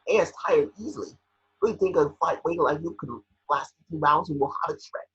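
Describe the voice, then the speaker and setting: A pompous, scheming tycoon played by a man who blusters and pushes, with a very rough, husky voice and a forceful edge. It is an amateur script read over a dull, lo-fi connection. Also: fairly high pitch, fairly quick, voice pushed up. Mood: blustering, mocking. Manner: pompous, pushy.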